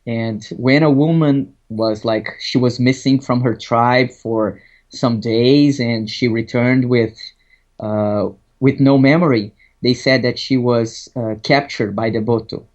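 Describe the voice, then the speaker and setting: A man's voice: medium pitch, fairly even intonation, slow, darkish tone. A man from Brazil with a Brazilian Portuguese accent, a podcast guest chatting casually and hesitantly, restarting often. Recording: dull lo-fi audio.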